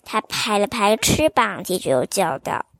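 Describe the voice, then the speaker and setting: A woman storyteller reading a fairy tale to children in a slow, expressive, sing-song way. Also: medium pitch, gravelly, no room sound.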